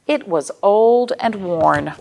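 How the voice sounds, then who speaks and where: American woman, children's storytime reader, unhurried pace, low-set pitch, expressive, simple questioning delivery. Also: vocal fry. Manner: expressive, simple explanations with questions to young listeners. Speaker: children's librarian, storytime host. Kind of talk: read-aloud storytime for young children.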